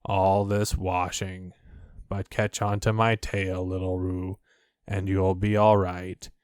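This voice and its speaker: A man voicing a gloomy, self-pitying old donkey who grumbles slowly and low. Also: little pitch movement, darkish tone, clear, resonant tone, no echo, clean studio sound.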